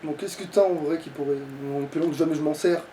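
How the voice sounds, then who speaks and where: High-pitched man, distant from the mic. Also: fairly even intonation, quick pace, dark tone. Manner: casual, swearing, talking to the game. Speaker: let's play player. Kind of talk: casual gaming chat. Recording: distant from mic, background noise.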